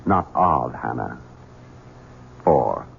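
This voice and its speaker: Menacing, scheming heir, muffled old radio. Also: male voice, extremely low pitch, expressive, gravelly, full character voice. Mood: taunting, accusing. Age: 30s. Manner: insinuating, deliberate, commanding. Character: menacing, scheming grown son of a wealthy family, coldly controlling. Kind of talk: 1970s radio drama acting. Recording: muffled, noisy 1970s radio broadcast recording.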